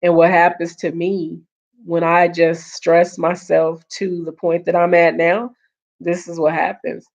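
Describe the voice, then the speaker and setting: A woman hosting a casual live stream, earnest and repeating her points, greeting viewers, her voice getting hoarse. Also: on the low side, little pitch movement, a little slow, darkish tone. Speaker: livestream host. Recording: dull lo-fi stream audio.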